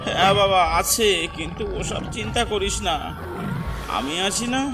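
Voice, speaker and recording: A high-voiced cartoon father, acted by a man, sounding like a poor jungle crab catcher. He scolds and soothes in turn. The voice sits away from the mic with music clearly behind it. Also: moderate pace, far from the mic, natural voice. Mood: reassuring. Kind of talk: cartoon story dialogue.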